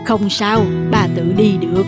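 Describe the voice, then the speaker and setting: A woman voicing a kindly old village father in an animated folk tale, plaintive and fatherly, with a husky voice. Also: mid-range voice, measured pace, over loud music, close to an everyday voice. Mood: warm.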